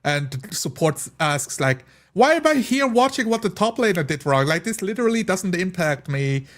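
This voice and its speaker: A man with a fairly high voice talks casually among fellow tabletop players. He explains his reasoning step by step, often speaking hypothetical thoughts aloud as examples. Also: sing-song, normal speed, a bit bright. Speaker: tabletop role-playing player. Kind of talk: casual chat.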